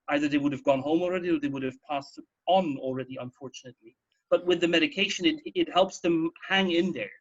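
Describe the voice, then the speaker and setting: A man's voice: on the high side, lo-fi sound. A man in a casual radio discussion of health news, explaining things and often rephrasing himself as he goes. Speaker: radio talk host.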